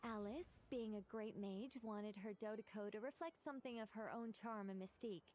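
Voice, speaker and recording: A woman's voice: medium pitch, moderate pace, darkish tone, a little hiss or hum, natural voice. A woman voicing a prim, opinionated young female mage in a video game, wordy and dryly fussy. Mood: indignant, rambling.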